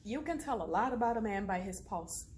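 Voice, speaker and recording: A woman's voice: mid-range voice, expressive, fairly quick, a little mellow, close to an everyday voice. A woman performing a jilted woman in her thirties, wry and desperate, retelling arguments back and forth in a comic-dramatic stage monologue. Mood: wry, scornful.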